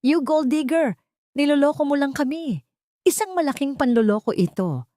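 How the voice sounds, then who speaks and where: A woman narrator voicing an arrogant, enraged man who shouts accusations in an audio drama story. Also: high-pitched, animated, moderate pace, no echo, studio quality, voice pushed up. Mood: enraged, accusing.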